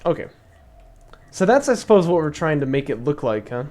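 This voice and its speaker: A young man streaming and explaining strategy in a casual, chatty way, thinking aloud with fillers and laughs; his voice is slightly raspy from long talking. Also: on the high side, very animated, fairly quick, a little mellow. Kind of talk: casual live-stream commentary.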